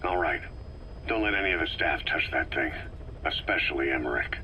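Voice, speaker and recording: An actor playing a terse, stern, battle-hardened military boss in a video game, gruff and gravelly, in short clipped replies. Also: male voice, a bass voice, lively intonation, normal speed, sharp tone, slight background noise, full character voice, low-quality recording. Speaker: military commander.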